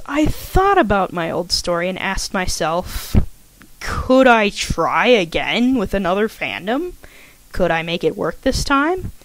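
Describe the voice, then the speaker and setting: A woman's voice: mid-range voice, dry recording, rough recording. Young woman, chatty, with a dry close sound. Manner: chatty, self-deprecating, stumbles and corrects herself. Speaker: fan fiction author. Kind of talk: casual scripted personal commentary, read aloud.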